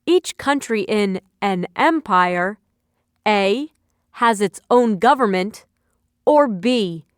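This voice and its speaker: Female instructor for scripted review material: clean, resonant tone, unhurried deliberate phrasing. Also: medium pitch, clear, resonant tone, no echo, studio quality. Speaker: quiz narrator, instructor. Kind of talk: scripted educational narration.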